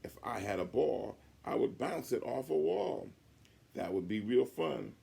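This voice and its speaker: A man's voice: medium pitch, expressive, measured pace, creaky, mellow. A man voicing a young schoolboy proudly reciting a silly rhyme in singsong storybook style.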